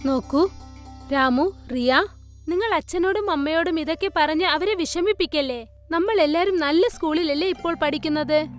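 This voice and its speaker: A woman voicing the mothers and girls in a cartoon story, speaking in a clear, coaxing way. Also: very high-pitched, moderate pace, soft background music. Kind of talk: cartoon voice acting.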